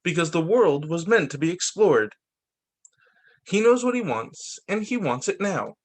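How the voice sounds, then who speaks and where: Male teacher for children's read-aloud. Higher register, very animated intonation, expressive emphasis, slightly halting reading. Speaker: teacher reading picture books.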